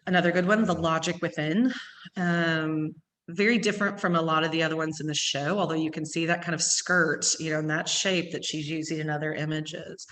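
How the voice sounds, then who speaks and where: A woman with an East Texas accent, a gallery owner giving a quick, chatty tour full of ums. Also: deep voice, fairly even intonation, a bit bright. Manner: fast-talking with many ums. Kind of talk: virtual gallery tour talk. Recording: long hall reverb.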